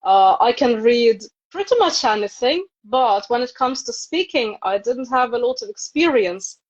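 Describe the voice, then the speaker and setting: A woman's voice: a little high, expressive, moderate pace. A woman in her thirties from Ukraine, a native Ukrainian speaker with a Ukrainian accent, chatting casually as an interview guest on a video call. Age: 30s. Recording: dull, lo-fi video live stream.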